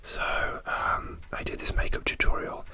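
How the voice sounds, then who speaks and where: A man softly whispering a rambling ASMR story, with many fillers and restarts. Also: measured pace. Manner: soft, relaxed whisper throughout. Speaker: ASMR creator.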